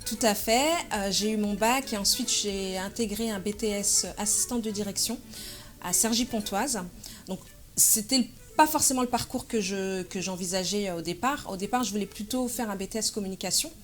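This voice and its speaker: A woman of about forty from France, answering interview questions in a measured, hesitant way with frequent pauses and fillers. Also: mid-range voice, faint music behind. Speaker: interview guest, association president.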